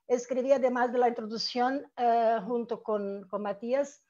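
Middle-aged woman, Brazilian accent, Portuguese native, soft dark tone, rather high pitch, halting formal academic talk, muffled video-call sound. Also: flat delivery. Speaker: academic panelist, researcher. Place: room with bookshelves indoors. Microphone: earphone mic on video call. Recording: dull video-call audio.

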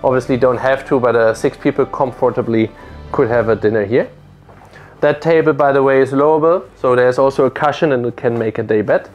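A man's voice: mid-range voice, a little fast, dull audio, soft background music. A man in his thirties giving a methodical walkthrough of a yacht, recorded on board with a lapel mic. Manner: explanatory, methodical, lists features. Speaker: boat presenter. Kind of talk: product walkthrough. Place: aboard a motor yacht on a lake, on deck and in cabins.

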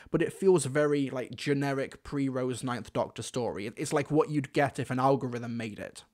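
A man's voice: mid-range voice, darkish tone. A young British man giving conversational review commentary into a close desk microphone at home. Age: young adult. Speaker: video reviewer. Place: small furnished room at home.

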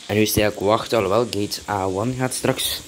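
A man's voice: fairly low pitch, steady tone, fast. Flemish man over audible background noise. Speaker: gaming video host. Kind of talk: casual gameplay commentary.

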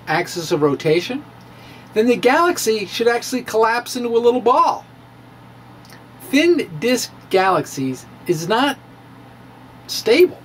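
A middle-aged man giving a theatrical reading of popular science, with drawn-out emphasis and pauses. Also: sits high, slight background noise. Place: small room with bookshelves. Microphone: handheld-style microphone on a stand, close in front.

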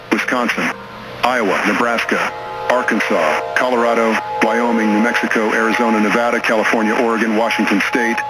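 A man reading a scripted emergency broadcast announcement in a formal, measured manner. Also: medium pitch, rough recording.

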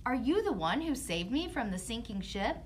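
A woman's voice: a little high, very animated, normal speed. A woman voicing a kind, smitten young male prince in a children's puppet storytime. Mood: eager, puzzled.

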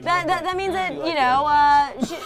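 A woman on a game show panel, insistently and playfully pitching a model in improvised comic banter. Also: normal speed, loud music behind the voice.

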